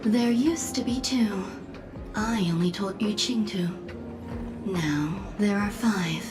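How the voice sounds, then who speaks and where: Casting: woman playing probing, secretive scholar for game dialogue, medium register, measured dramatic delivery, music underneath. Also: mid-range voice, lightly acted. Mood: intrigued. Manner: deliberate, enigmatic, dramatic pauses. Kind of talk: video game story dialogue.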